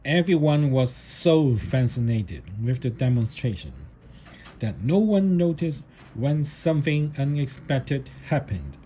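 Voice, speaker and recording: A man reading a children's story aloud in a deliberate narrating style, with the odd small stumble over the words. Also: medium pitch, very animated, warm tone, a little hiss or hum. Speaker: storybook reader.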